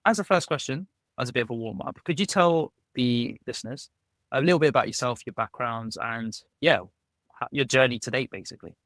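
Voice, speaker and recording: A man's voice: medium pitch, a little fast, a bit bright, no room sound. A man hosting a podcast interview, upbeat and chatty, often saying "yeah, no". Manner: upbeat, many fillers like "yeah, no". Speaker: podcast host.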